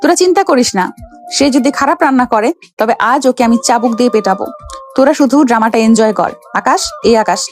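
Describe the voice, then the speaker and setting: A woman's voice: fairly high pitch, animated, brisk, lightly acted. A woman playing a haughty, cruel young rich boss in an audio story, scolding and threatening imperiously. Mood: scheming. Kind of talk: Bengali audio story acting. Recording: background music under the voice.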